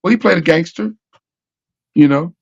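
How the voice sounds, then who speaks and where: A man's voice: on the high side, normal speed, vocal fry, no echo, dull audio. A man hosting a casual panel talk show, arguing emphatically and repeating himself as he builds his point. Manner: repetitive, emphatic, argues with rhetorical questions.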